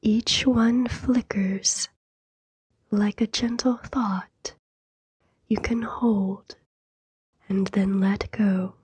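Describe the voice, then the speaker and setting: A woman softly narrating a scripted sleep meditation in a hushed, breathy whisper, soothing and unhurried, with long pauses. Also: mid-range voice, fairly even intonation, no room sound.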